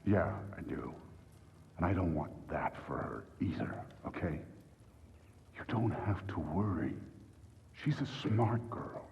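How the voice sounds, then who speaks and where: An actor playing a huge, weary thunder god and remorseful father who is gruff and self-deprecating. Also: low voice, lively intonation, slow, long pauses, in a large hall, dull audio, slight background noise, exaggerated voice.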